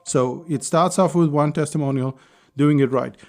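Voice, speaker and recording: Hoarse, gravelly-voiced man hosting a podcast. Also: on the high side, normal speed. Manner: chatty, persuasive, with small laughs. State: hoarse, losing his voice. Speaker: podcast host. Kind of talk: casual solo podcast tips.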